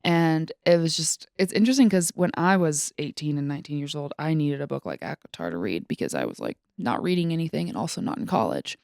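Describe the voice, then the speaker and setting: A woman's voice: deep voice, very animated, quick pace, creaky, few pauses, a little mellow, no echo, clean studio sound. A woman in her twenties chatting casually about books as a podcast co-host, in an informal way peppered with "like". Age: 20s.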